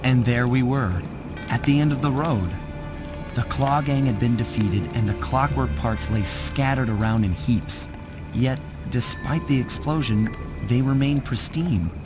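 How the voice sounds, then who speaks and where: A man's voice: mid-range voice, a little slow, close to an everyday voice. A man voicing a cool, cocky young raccoon thief in a cartoon video game, with wry confidence. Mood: reflective narration. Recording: voice under loud music. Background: loud game music.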